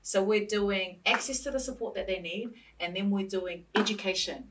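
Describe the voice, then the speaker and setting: A woman's voice: mid-range voice, moderate pace, a bit bright, far from the mic. A woman speaking as a studio guest, emphatic and punchy, full of rhetorical questions and repetition. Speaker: guest, local election candidate. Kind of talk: passionate studio discussion.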